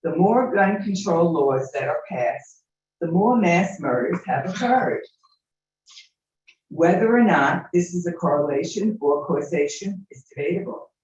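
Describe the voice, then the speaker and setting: A man's voice: on the high side, expressive, unhurried, darkish tone. Man reading a statement in an echoey chamber. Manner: earnest, reading a prepared statement. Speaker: public commenter. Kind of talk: prepared public comment speech. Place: city commission chamber. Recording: distant very echoey muffled hall mic.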